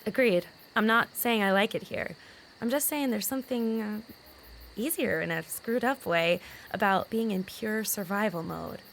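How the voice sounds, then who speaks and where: A woman's voice: medium pitch, moderate pace, creaky, fairly bright tone, slight background noise, low-quality recording. A woman playing a frank, troubled teenage girl in a naturalistic audio drama, speaking candidly and casually. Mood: troubled, confiding. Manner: candid, colloquial, mildly profane. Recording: faint outdoor night ambience with crickets.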